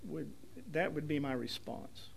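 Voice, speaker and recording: Gravelly man arguing before a court. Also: a little high, a little hiss or hum. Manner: careful and slightly halting. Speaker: attorney arguing an appeal. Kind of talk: appellate oral argument.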